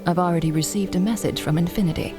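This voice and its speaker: A woman's voice: fairly low pitch, quick pace, music in the background, natural voice. An actress playing a composed woman in a military science fiction audio drama. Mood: businesslike.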